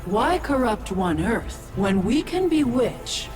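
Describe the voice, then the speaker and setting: A woman's voice: on the high side, very animated, slow pace, music in the background. A woman playing a mocking, scheming ancient witch spirit in a video game villain monologue. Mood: mocking, gloating.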